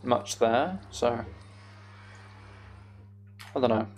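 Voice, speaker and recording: A young man giving casual, meandering gameplay commentary on a live stream, thinking aloud and chatting to viewers, with a creaky voice. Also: mid-range voice, animated, extremely slow, darkish tone, a little hiss or hum.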